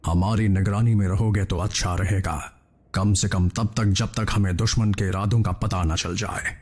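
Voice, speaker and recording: Robot hero leader played by a man: lowish, calm, grave. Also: normal speed. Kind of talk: Hindi cartoon dub.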